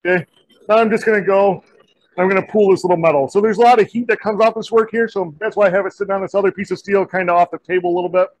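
A man in his forties, a shop teacher, talking through a torch welding demonstration in a relaxed, folksy way in an indoor metal shop. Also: high voice, rapid-fire, rough recording. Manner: relaxed, folksy, many "kinda" and "you know" fillers. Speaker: diesel technology teacher.

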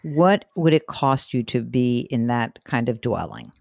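A woman with a New York accent hosting a call-in advice show, brisk and chatty, asking quick follow-up questions. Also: rumbling low, sing-song, vocal fry, darkish tone, dry recording, studio quality. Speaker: host.

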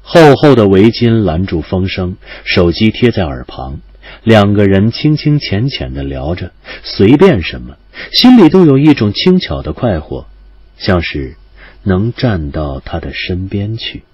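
A man narrating a campus romance audio drama as a gentle storyteller, his phrases trailing off softly. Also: deep voice, big pitch swings, unhurried, breathy edge, no echo, lo-fi sound, exaggerated voice. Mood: tender, dramatic. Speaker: narrator and actor. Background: music.

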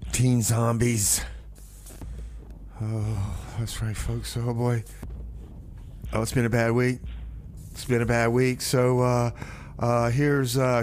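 A man presents music on the radio at an unhurried pace. His delivery is folksy and self-deprecating, with hesitations and repeated phrases. There is some noise behind his voice. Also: mid-range voice. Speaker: radio DJ and show host. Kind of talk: rambling music radio presenting between songs.

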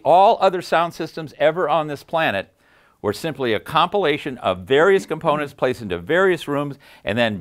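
An older man giving an unscripted technical explanation as a sound designer, emphatic and full of jargon, repeating himself to drive points home. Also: a little high. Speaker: sound system designer and presenter. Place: padded, treated listening room indoors.

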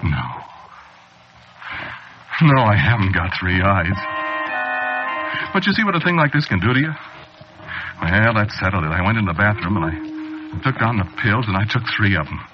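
A man's voice: fairly low pitch, big pitch swings. Haunted man unraveling. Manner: halting, confessional first-person telling. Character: haunted middle-aged man sliding into madness. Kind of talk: 1940s radio acting. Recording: dull old radio broadcast with music.

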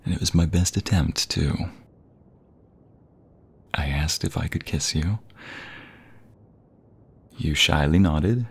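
Very deep, breathy, slow husband voice acted by a man. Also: exaggerated voice. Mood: tender, flirtatious. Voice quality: breathy, husky, gravelly.

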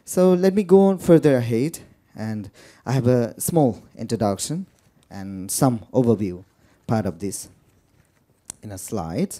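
A man with a Nepali accent introduces a guest in a lecture room, stopping often on fillers and restarting his phrases. His voice is deep and dark in tone, and his pitch swings widely from phrase to phrase. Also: expressive. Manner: halting, many fillers and restarts. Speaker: moderator. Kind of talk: introducing a speaker at a book talk.

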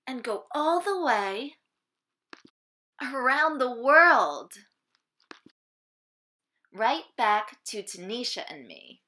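A woman reads a children's storybook aloud with a bright, clear-cut tone and very animated pitch. She takes her time, phrasing each sentence slowly and deliberately for young listeners. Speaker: storybook reader. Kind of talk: expressive children's picture-book read-aloud.

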